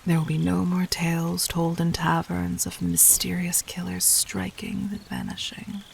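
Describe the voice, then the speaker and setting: A woman playing a calculating, commanding demon queen in an audio roleplay, speaking formally and deliberately in a soft voice that often dips toward a whisper. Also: lowish voice, little pitch movement, vocal fry, slight background noise. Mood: cold, composed. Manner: measured, regal, formal, deliberate. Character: calculating, commanding demon queen toying with her would-be assassin. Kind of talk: scripted audio roleplay addressed to the listener.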